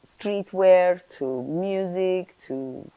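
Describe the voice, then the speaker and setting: Woman host, lowish voice, dry close sound, friendly interviewer who laughs along. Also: very slow, mellow, no echo. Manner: friendly, laughs along, short encouraging replies. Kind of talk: talk show interview.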